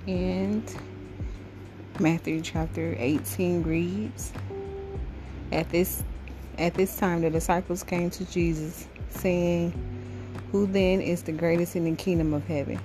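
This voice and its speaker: A low female voice reads scripture aloud for a devotional podcast, with little rise and fall in pitch. She goes phrase by phrase in a halting way, and music plays clearly behind her. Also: steady tone. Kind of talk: devotional Bible reading. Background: music under the voice.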